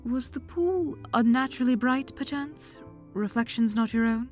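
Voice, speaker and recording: A woman's voice: sits high, normal speed, a little mellow, soft background music, natural voice. A woman playing a regal, courteous, centuries-old vampire queen in an audio drama, speaking in a formal, genteel and teasing way. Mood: gently inquisitive. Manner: genteel, formal, uses endearments like dear. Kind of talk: scripted audio drama acting.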